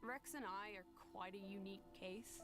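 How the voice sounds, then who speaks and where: A woman voicing a calm, composed young female companion in a video game, explaining things in a measured way. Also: medium pitch, close to an everyday voice. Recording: game dialogue with music. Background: game music.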